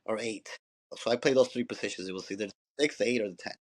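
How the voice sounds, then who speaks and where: A man's voice: lowish voice, fast talker. A man, a former professional player now a youth coach, telling his story in a loose, rambling way with frequent restarts and "you know"s. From Mexico. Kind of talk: conversational storytelling.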